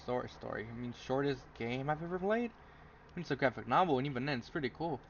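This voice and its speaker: A man's voice: mid-range voice, expressive, quick pace. A man reading a visual novel aloud as an amateur gamer, with casual commentary along the way. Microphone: poor microphone. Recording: muffled lo-fi mic with loud noise.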